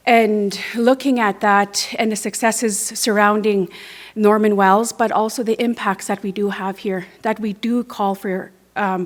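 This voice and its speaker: A woman with a northern Canadian accent speaks unscripted as a political candidate at a forum, halting and repeating words as she searches for phrasing. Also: medium pitch. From Northwest Territories, Canada. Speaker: political candidate, former legislator. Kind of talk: unscripted campaign speech at a forum.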